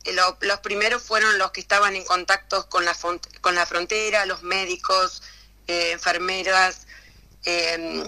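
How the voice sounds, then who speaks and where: Hesitant Argentine woman with a bright voice. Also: on the low side, fairly even intonation, unhurried, poor audio. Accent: Argentine Spanish. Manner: hesitant, many fillers and restarts. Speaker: interview guest. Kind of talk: casual conversational interview.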